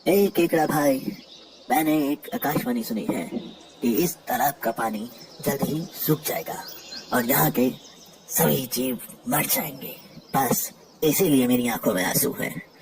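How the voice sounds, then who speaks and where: A man voicing a sly, deceitful old heron in an animated animal fable, recorded from a distance with noise behind him. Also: mid-range voice, measured pace, natural voice. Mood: mock sorrow. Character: sly old heron, falsely tearful and gloating. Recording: distant voice with clear background noise.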